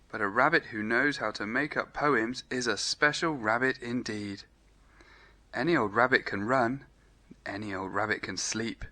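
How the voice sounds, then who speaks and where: A man's voice: mid-range voice, pushed and bright. A man voicing a friendly female sheep in a storybook, speaking in lilting rhyme.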